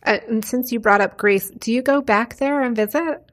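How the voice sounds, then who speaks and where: A woman's voice: on the high side, moderate pace. A woman hosting a podcast, asking questions in a friendly, upbeat way and reading the show's outro. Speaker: podcast host.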